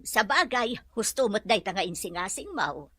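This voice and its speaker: Lady doing plain-talking ilocano woman in a radio play, bright n husky, nosy. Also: medium pitch, big pitch swings, normal speed, dry recording. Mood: inquisitive. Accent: Ilocano Filipino. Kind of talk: Ilocano audio drama acting.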